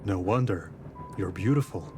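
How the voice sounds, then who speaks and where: A man voicing a courteous, assured older gentleman of rank in a visual novel, speaking formally and politely. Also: sits low, moderate pace, lightly acted. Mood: gallant. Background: music.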